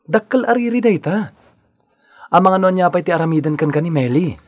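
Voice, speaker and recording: An earnest young Filipino man in radio melodrama, disapproving of his friend. His pitch leaps around as he scolds. The sound is dry, with little room to it. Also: animated, a little fast, no echo. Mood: scolding. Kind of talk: Ilocano radio drama acting.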